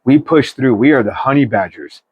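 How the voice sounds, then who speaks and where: A man's voice: medium pitch, expressive, normal speed, husky, no room sound, narrow, tinny audio. A man reads tarot for his viewers in an informal, chatty style, giving an emphatic pep talk with slang and mild swearing. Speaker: tarot reader.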